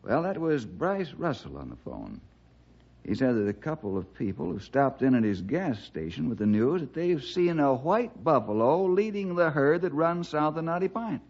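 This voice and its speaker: Husky, calm American ranger. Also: male voice, fairly high pitch, moderate pace, heavily acted. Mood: thoughtful. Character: calm, inquisitive male Western ranger. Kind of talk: 1960s radio drama acting. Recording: dull vintage radio recording.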